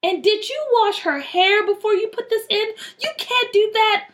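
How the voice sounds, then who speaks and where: A woman's voice: fairly high pitch, lively intonation, a little slow, fairly bright tone. A woman voicing a bossy, alarmed older sister who scolds and takes charge in a children's story. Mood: alarmed, scolding. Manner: scolding, urgent, exclaiming.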